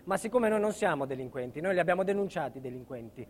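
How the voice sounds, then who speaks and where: A man's voice: on the high side, expressive, quick pace. A male actor playing a middle-aged family man under state protection, insistent and emphatic, in a dramatic stage monologue. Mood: firm, insistent. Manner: emphatic, insistent, repeats himself.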